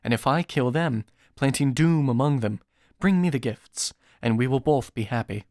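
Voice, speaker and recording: An earnest young prince played by a male narrator speaks firmly in a medium-pitched voice. He is calm, curt and formal, with the dry sound of an epic read aloud. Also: moderate pace, dry recording, lightly acted. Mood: cautious, resolute. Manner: firm, calm, formal speech. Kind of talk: audiobook epic storytelling.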